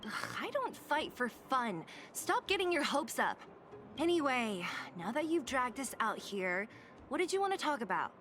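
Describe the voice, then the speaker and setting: A no-nonsense young female fighter from a video game, speaking in a slightly high voice. She sounds dry and exasperated, like a senior comrade reluctantly putting up with nonsense. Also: sing-song, normal speed, a bit bright, slight background noise.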